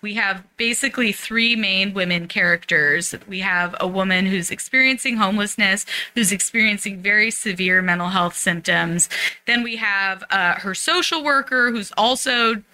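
Very bright-voiced American woman guest. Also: mid-range voice, measured pace, poor audio. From San Francisco, United States. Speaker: interview guest, theater performer. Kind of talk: casual explanatory interview talk. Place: recording studio.

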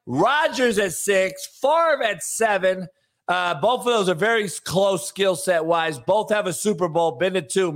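An American man with a high, forceful voice hosts a sports talk show. He is brash and blunt, debating casually and swearing freely.

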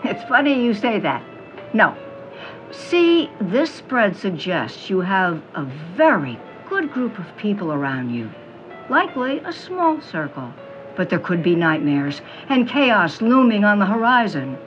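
Mystic fortune teller: female, knowing, teasing, very animated, audio drama, music bed. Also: medium pitch, lo-fi sound. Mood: ominous, wry. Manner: theatrical, honeyed pet names, dramatic pauses. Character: mysterious middle-aged woman fortune teller, theatrical, knowing, teasing.

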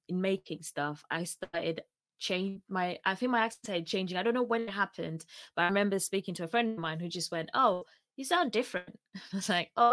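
Woman, English accent with Ugandan traces, casual podcast chat, laughs a lot, low-pitched, close and dry. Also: a bit bright, no room sound. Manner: chatty, many restarts, laughs often. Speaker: podcast guest co-host.

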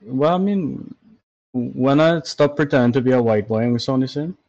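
A man's voice: medium pitch, normal speed, a little mellow, no echo, highs cut off. A tired man with a Trinidadian accent, talking animatedly and swearing in a casual podcast chat. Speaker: podcast co-host.

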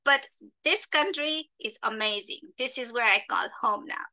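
A middle-aged woman from East Africa with a high, strikingly bright and forceful voice. She speaks as a podcast guest, telling stories and handing out advice in an emphatic, instructive way. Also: normal speed, low-quality recording. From Kenya and Tanzania. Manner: emphatic, instructive, checks in with "right?". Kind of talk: conversational storytelling and advice.

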